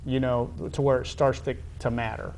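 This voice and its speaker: A middle-aged American man conducting a conversational sports interview, halting and wordy, often repeating phrases. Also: medium pitch, flat delivery, creaky, darkish tone, slight background noise, low-quality recording. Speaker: interviewer, high school athletic director.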